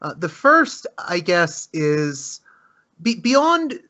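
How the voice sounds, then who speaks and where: A man's voice: high-pitched, sing-song, very slow, pushed and bright. A man hosting a podcast interview, asking questions with hesitant, stammering starts. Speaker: podcast host. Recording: dull lo-fi audio.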